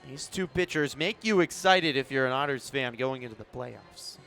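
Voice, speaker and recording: A man's voice: mid-range voice, expressive, moderate pace, gravelly, fairly bright tone, faint music behind. A man doing live radio play-by-play commentary of a baseball game as the announcer. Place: broadcast position at an outdoor ballpark in the evening.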